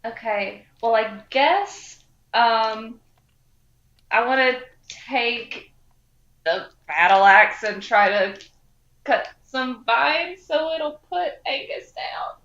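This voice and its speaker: A woman's voice: on the high side, very slow, a bit bright. A woman playing a tabletop game, chatting casually and hesitantly, with some swearing. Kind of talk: casual chat during play. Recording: roomy, slightly dull sound.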